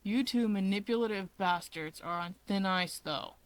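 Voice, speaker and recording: A woman's voice: mid-range voice, normal speed, dry recording, lightly acted. A woman voicing a grumpy, sarcastic young male villain from a story, curt and irritated. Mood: grudging.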